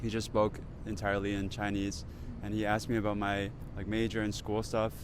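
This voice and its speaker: A young man with a low voice tells a story in a relaxed, halting way, full of "like" and "uh". Clear traffic noise sits behind him. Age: young adult. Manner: hesitant, many fillers. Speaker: pharmacy student panelist. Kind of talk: casual panel chat.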